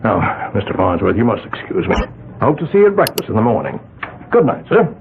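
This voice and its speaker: Through thin vintage radio sound comes a formal, intense male host with a gravelly voice. He swings widely in pitch, ceremonious and emphatic, in full theatrical radio-drama style. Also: huge pitch swings, fairly quick, phone-quality sound, background noise, full character voice. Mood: commanding, formal. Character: grandly formal, intense host and father of the house. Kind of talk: old-time radio drama acting. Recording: thin, band-limited old radio recording.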